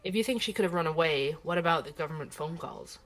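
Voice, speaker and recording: A woman playing a composed investigative journalist in an audio drama, asking measured, probing questions. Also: sits low, fairly bright tone. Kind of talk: audio drama acting as podcast interview.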